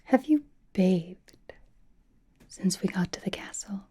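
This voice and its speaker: Slow, whispering, flirtatious vampire played by a woman. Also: medium pitch, expressive, dark tone, heavily acted. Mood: teasing, coy. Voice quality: breathy whisper. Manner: coy, theatrical, old-fashioned endearments, lingering phrasing. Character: flirtatious, doting, teasing female vampire companion. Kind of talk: whispered ASMR roleplay.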